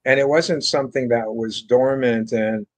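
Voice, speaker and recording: An older man from France, speaking at an ordinary middle pitch, tells his stories in a loose, rambling way full of fillers and restarts. He comes through a video call from a home room lined with bookshelves. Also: fairly even intonation, moderate pace. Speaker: guest guitarist. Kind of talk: casual interview storytelling.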